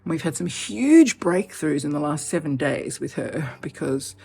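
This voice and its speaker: A heavily pregnant woman in her fifties talking off the cuff in a personal vlog, chatty and animated, laughing often. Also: deep voice, moderate pace, creaky, a little hiss or hum. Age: 50s. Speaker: vlogger.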